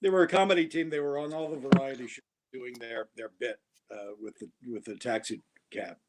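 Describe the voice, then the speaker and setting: A man's voice: medium pitch, fairly even intonation, a little fast, heavy background noise. A man who does voice-over work, joking around as a guest on a casual talk show. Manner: playful, joking. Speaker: voice actor guest. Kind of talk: casual chat.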